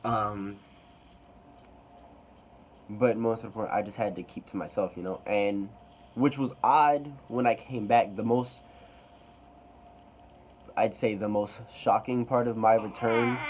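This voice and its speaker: A man's voice: lowish voice, lo-fi sound, slight background noise. A young man casually telling a personal story to camera, hesitant and rambling with many fillers.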